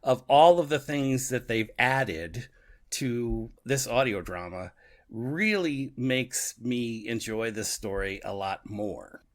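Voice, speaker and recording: A man's voice: mid-range voice, animated, slow. Male podcast co-host, middle register, casual and reflective discussion style, doubles back on phrases to stress them. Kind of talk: casual discussion of an audio drama.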